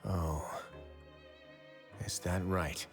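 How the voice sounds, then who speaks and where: A shrewd, commanding duke in a video game. The voice is low, measured and deliberate, calmly authoritative, with music playing behind it. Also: male voice, exaggerated voice. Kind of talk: English video game voice acting.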